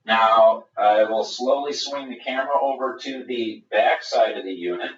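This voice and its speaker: A man's voice: medium pitch, normal speed, a little hiss or hum. Guy doing a webinar product demo, explains step by step, sounds far from the mic and kinda muffled. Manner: methodical, explanatory. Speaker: product presenter. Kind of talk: live webinar product demonstration. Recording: roomy, distant, dull webinar audio.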